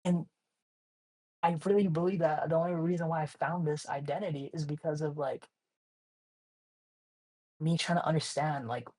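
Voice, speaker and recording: A man's voice: a little high, steady tone, moderate pace, long pauses, darkish tone, poor audio. A young man from Hawaii, a guest artist in a casual interview, talking in a laid-back, slangy way full of "like" and "you know".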